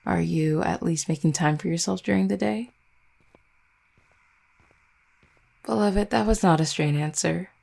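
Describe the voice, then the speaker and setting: Vampire girlfriend for roleplay audio, played by a woman: lowish, slightly creaky voice, affectionate, caring, gently teasing. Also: normal speed. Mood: concerned, scolding. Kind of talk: intimate roleplay audio, soft conversational acting.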